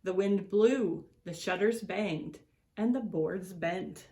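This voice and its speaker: A woman reading a picture book aloud to children as an educator, with deliberate, expressive storytelling and drawn-out words. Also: mid-range voice.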